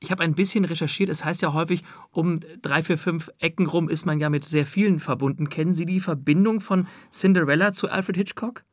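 A man's voice: on the high side, a little fast, few pauses, no echo, studio quality. A man working as an interviewer, offering comments and prepared film trivia in a conversational interview.

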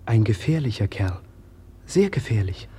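An eager young lad in a radio play. His voice is dark and extremely husky, with an earnest, confidential delivery. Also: on the low side, very animated, moderate pace, full character voice. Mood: earnest, secretive. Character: earnest, eager youth bringing confidential messages. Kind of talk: classic German radio drama acting.

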